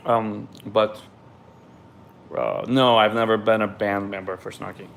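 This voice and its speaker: A man's voice: on the low side, little pitch movement, a little slow, creaky, a bit bright, a little hiss or hum. A man from Ukraine, raised in Israel, reads viewer questions aloud on a live stream and answers them in a loose, candid way.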